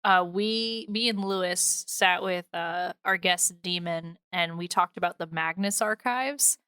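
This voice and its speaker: A woman podcast host chats casually in a bright, very clear voice that sits a little low. Her talk is slangy and informal. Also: a little slow, no echo, studio quality.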